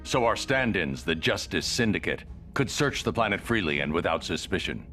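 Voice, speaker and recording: A brooding superhero leader for a game cutscene. The voice is low and forceful, terse and grim, with lively turns of emphasis. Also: male voice, normal speed, faint music behind, heavily acted. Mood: serious. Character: stern, brooding male superhero detective leader. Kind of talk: animated video game cutscene acting.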